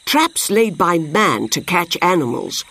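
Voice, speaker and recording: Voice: mid-range voice, sing-song, vocal fry, pushed and bright, exaggerated voice. Storyteller as a sly, eloquent fox. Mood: self-assured. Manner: measured, well-spoken, self-assured. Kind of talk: children's storybook character voice.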